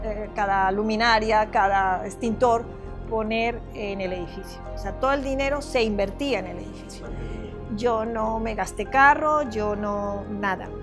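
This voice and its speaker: An older woman from Venezuela telling her own story in an interview, halting and circling back as she goes. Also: on the high side. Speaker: property owner giving testimony. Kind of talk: personal testimony in an interview.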